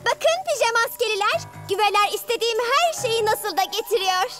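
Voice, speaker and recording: Tiz, buyurgan küçük kötü kız. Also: female voice, very high-pitched, lively intonation, moderate pace, a bit bright. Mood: gloating, scornful. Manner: commanding, petulant, scornful. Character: bossy, scheming young girl villain with moth minions. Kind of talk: animated children's cartoon dub. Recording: music behind the voice.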